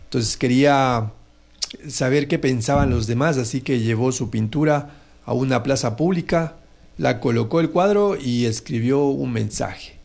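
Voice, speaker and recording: Male radio host, gravelly, hesitant storyteller. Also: medium pitch, measured pace, darkish tone. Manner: hesitant, many fillers and restarts. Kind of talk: casual on-air talk with a moral story.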